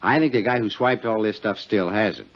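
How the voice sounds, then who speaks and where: An actor playing a talkative, excitable insurance man in a 1950s radio drama, emphatic and folksy, full of rhetorical questions. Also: fairly low pitch, fairly quick, close to an everyday voice. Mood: confiding, eager. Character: talkative, excitable male insurance colleague named Frank. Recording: dull 1950s radio recording.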